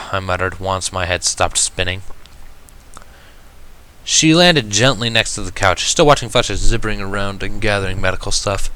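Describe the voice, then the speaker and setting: A man giving an expressive amateur narration of a fan fiction story. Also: lowish voice.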